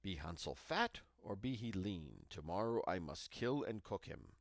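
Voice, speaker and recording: A cunning old witch from a fairy tale, acted out by a man. The voice is gravelly and leaps up and down as it scolds and gives greedy, spiteful orders. It sounds close and dry. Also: low voice, big pitch swings, unhurried, dry recording, exaggerated voice. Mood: commanding. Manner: scolding, commanding.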